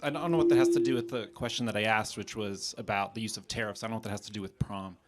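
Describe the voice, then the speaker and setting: An American man, a professor, putting questions in a live debate in a measured, analytical manner. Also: on the low side, steady tone.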